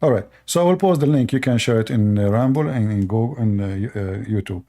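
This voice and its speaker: A man whose first language is Arabic hosts a live call-in debate, repeating himself and speaking haltingly while he addresses his viewers. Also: lowish voice, lively intonation, warm tone. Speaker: show host.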